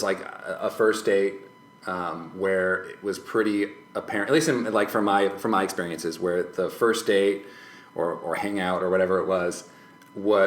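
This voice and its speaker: Low-voiced man, tentative, in echoey room. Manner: hesitant, tentative, rambling with hedged phrasing. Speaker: podcast guest, musician. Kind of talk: casual reflective chat. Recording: roomy echo, faint background noise.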